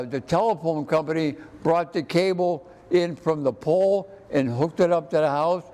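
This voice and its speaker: Older American male interview guest, unhurried, repeats words as he reminisces, slightly high voice. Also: darkish tone. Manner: halting, often repeats words. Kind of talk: conversational reminiscing.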